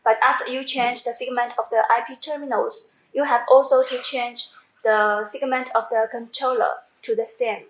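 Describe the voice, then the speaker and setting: A woman who speaks English as a second language, giving a halting step-by-step software tutorial with repeats and self-corrections. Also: fairly high pitch, fairly even intonation, slight background noise. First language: not English. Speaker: product tutorial presenter. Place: indoor room, demonstrating at a computer screen. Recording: echoey room sound.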